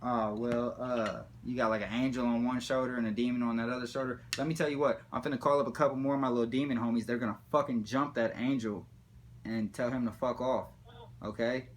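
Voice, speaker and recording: A man's voice: mid-range voice, steady tone, brisk, slight background noise. A man talking casually in a rambling, profane, slangy way, like someone spinning comic stories. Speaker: storyteller.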